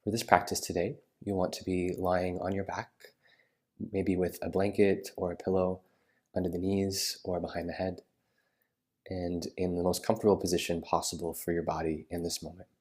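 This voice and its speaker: A man guiding a meditation in a soothing manner, with unhurried phrasing and gentle pauses. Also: sits low, little pitch movement. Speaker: yoga nidra meditation guide. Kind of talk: guided meditation.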